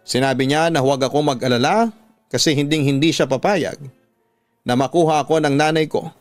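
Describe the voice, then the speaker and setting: A man's voice: on the high side, no room sound. A man with a Filipino accent reading a scripted, dramatic first-person life story as a radio storytelling host.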